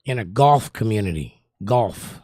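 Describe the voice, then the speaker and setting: A slightly hoarse middle-aged man hosting a livestream, chatting casually and teasing his viewers as he reads their comments. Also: on the low side, lively intonation, measured pace, warm tone, no room sound, studio quality. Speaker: livestream host. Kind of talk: casual chat reading viewer comments aloud.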